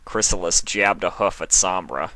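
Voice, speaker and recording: A man's voice: low-pitched, normal speed, heavy background noise. A man narrating a scripted story as its reader.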